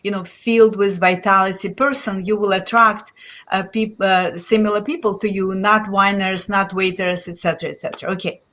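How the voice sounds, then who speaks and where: A woman's voice: medium pitch, fairly even intonation, moderate pace, fairly bright tone. A woman with a Lithuanian accent hosting an interview, hesitant with many fillers. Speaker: host. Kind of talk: interview host.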